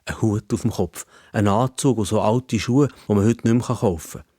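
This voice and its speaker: A man's voice: lowish voice, moderate pace, mellow, no room sound, clean studio sound. A man with a Swiss German accent telling a children's story in the first person.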